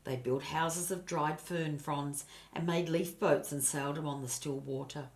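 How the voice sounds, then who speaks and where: Low-voiced older woman reading a storybook. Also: steady tone, measured pace. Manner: grandmotherly, addresses listeners and points out pictures. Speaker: storybook reader. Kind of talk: expressive children's storybook narration.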